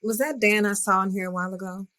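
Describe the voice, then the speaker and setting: A woman's voice: mid-range voice, fairly even intonation, quick pace, pushed and bright, close to an everyday voice. An actress playing a determined, principled female teacher in a podcast drama. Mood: determined, urging.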